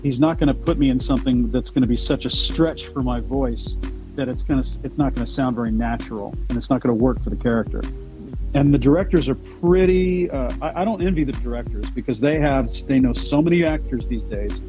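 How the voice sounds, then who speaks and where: A man with a deep baritone voice, a voice actor answering interview questions in a relaxed, rambling way with frequent restarts. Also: brisk, over music, low-quality recording. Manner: rambling, many restarts and repeated words. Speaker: voice actor guest.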